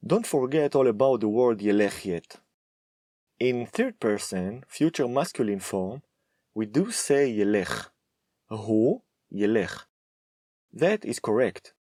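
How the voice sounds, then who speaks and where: Man Hebrew teacher, lively low voice. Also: unhurried, dry recording, studio quality. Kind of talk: scripted lesson to camera.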